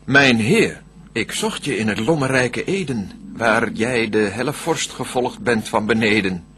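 A man's voice: medium pitch, animated, a little slow, close to an everyday voice. A man playing a dutiful devil servant in a classical verse radio play, speaking formally and with deference. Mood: deferential.

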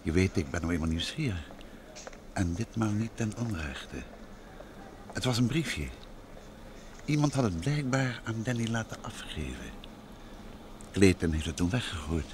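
Low-pitched calm, inquisitive male detective, vintage radio drama with clear noise. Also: a little slow, pauses often. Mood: confiding. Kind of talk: old Dutch radio drama acting.

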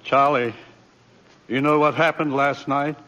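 A man's voice: fairly high pitch, fairly even intonation, a little slow, fairly bright tone. An actor playing a flustered older judge and grandfather in 1940s radio style. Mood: troubled. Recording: noisy vintage radio recording.

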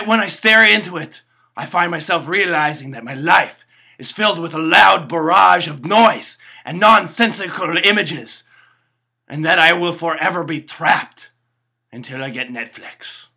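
Man voicing a puppet host, raspy, high-pitched, very bright, cheerfully dim. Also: full character voice. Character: naive self-important male puppet host, cheerfully dim. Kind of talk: comedic puppet show monologue. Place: indoor bedroom.

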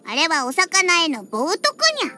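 A woman's voice: high voice, lively intonation, moderate pace, pushed and bright, heavily acted. A woman voicing a feisty little catgirl in an anime game, with a childlike, cutesy voice and a huffy, pouty manner. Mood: grumbling. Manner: pouty, huffy, with cat-like verbal tics. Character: feisty little catgirl bartender who hates alcohol. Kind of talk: Japanese anime game character acting. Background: background music.

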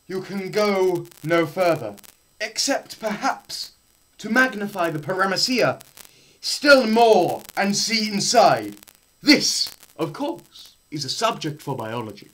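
A young man giving a dramatised science lecture, theatrical, swinging between shouts and hushed murmurs, with pauses and repetition for effect. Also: a little high, big pitch swings, low-quality recording. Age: young adult. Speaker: presenter. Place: small bare indoor room.

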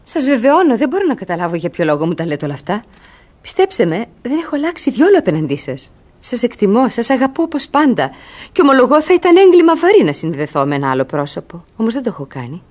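High, lively, dignified young ward. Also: female voice. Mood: earnest. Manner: earnest, theatrical. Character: sincere, dignified young ward in a classic comedy. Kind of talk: radio theatre acting.

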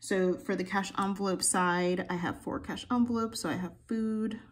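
Chatty woman with a husky voice. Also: medium pitch, fairly even intonation, moderate pace. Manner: chatty, playful slang, laughs often. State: groggy morning voice. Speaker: budgeting channel host. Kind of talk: casual chatty budget vlog. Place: home filming room, desk seen from above.

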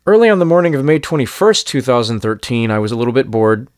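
A man's voice: mid-range voice, very animated, quick pace, no echo, studio quality. A man telling a story in a casual, chatty first-person style as host. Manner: chatty, conversational. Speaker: narrator and host.

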